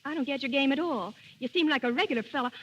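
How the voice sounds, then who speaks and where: High-voiced, sassy working girl. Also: quick pace, fairly bright tone, highs cut off. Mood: suspicious. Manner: slangy, sassy. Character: wisecracking, wary young working-class woman. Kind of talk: 1930s radio drama acting. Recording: noisy old 1930s radio broadcast.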